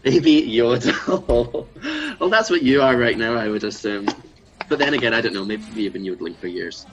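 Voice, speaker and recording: A man's voice: medium pitch, lively intonation, moderate pace, background noise. A man in his twenties from Scotland, a drag performer chatting in a playful, self-mocking way and laughing often. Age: 20s. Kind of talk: casual comedic chat.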